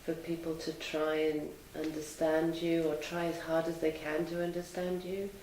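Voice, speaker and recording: A woman in her thirties, a teacher, giving calm, measured answers in an interview. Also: low-pitched, a little hiss or hum. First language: English. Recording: echoey room, mic at a distance.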